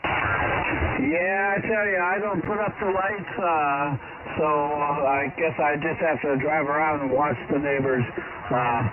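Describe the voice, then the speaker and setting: A man chatting casually as an amateur radio operator, halting and folksy, heard over a two-way radio. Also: a little high, fairly even intonation. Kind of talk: casual ham radio chat. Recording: narrow, very noisy ham radio signal.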